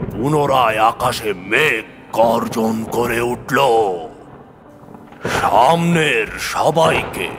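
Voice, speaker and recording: A man narrating a scripted horror story in the first person, with deliberate, theatrical phrasing. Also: medium pitch, fairly bright tone. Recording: long hall reverb, music behind.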